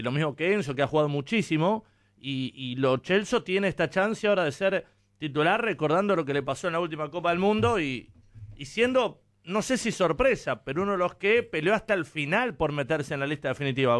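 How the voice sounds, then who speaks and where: A man's voice: fairly high pitch, fairly bright tone, dry recording, clean studio sound. An Argentine man with a Rioplatense accent hosting a sports radio show, talking football conversationally. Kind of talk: conversational live football commentary.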